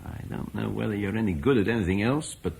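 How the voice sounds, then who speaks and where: An actor playing a dignified Roman-British landowner who speaks earnestly and tries to persuade in a radio play. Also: male voice, on the low side, quick pace, creaky, darkish tone, a little hiss or hum. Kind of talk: 1950s radio play acting.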